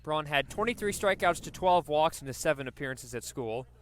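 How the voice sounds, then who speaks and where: A man's voice: fairly high pitch, animated, fairly quick. A man doing live radio baseball play-by-play, describing the action and working in stats as he goes. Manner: descriptive, stat-laden delivery.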